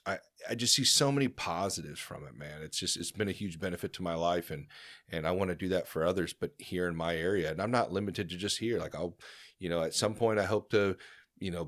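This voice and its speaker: A man's voice: low-pitched, a little fast, no echo, clean studio sound. A man with an American accent hosting a podcast, talking bluntly and casually with plenty of swearing. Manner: blunt, profane, laughs loudly. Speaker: podcast host. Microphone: studio podcast mic.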